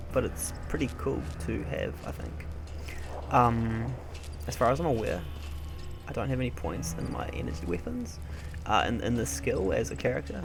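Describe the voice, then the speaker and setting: A young man chatting casually over gameplay in an unhurried, hesitant, low-key way. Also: vocal fry. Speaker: let's play host. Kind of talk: casual gameplay commentary, rambling personal chat. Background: video game music.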